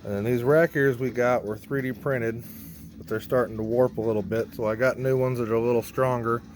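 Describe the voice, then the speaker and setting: A man's voice: medium pitch, steady tone, brisk, darkish tone, faint music behind. A man narrating a hands-on gear vlog in a casual, informal way, thinking aloud as he works. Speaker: band member showing a PA rack rebuild.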